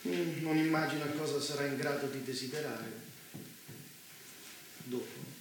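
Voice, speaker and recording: An actor playing the courteous but condescending master of a dystopian bunker, formal and measured in a stage drama. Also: male voice, mid-range voice, natural voice. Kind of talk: Italian theatre dialogue. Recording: very echoey theatre, faint noise.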